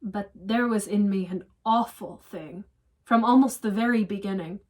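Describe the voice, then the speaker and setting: A woman performing a dramatic monologue as a regretful, confessional divorced woman, earnest and deliberate. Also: medium pitch, close to an everyday voice. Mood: reflective. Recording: voice distant from the mic.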